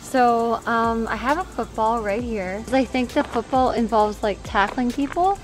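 A young woman vlogging in a park, her voice high and a little hoarse. She talks in a giggly, apologetic way, full of fillers. The camera mic catches her outdoors, with clear background music under her voice. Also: a little slow, low-quality recording. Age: young adult. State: slightly hoarse, losing her voice. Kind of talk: casual vlog talk to camera. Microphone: handheld camera mic, sometimes far camera.

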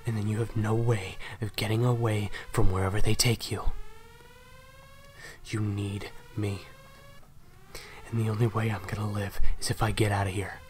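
Assassin for audio roleplay male actor: low register, guilt-ridden, protective, halting, whisper-prone. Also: little pitch movement, a little hiss or hum, lightly acted. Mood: urgent, protective. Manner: halting, repeating himself, often dropping to urgent whispers. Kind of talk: audio roleplay drama addressed to the listener.

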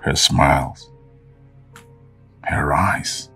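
A grieving, haunted man, played by a man, speaking very slowly in a deep, gravelly half-whisper. Heard from a distance, his words drift out in quiet reflection. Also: soft background music, exaggerated voice. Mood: wistful.

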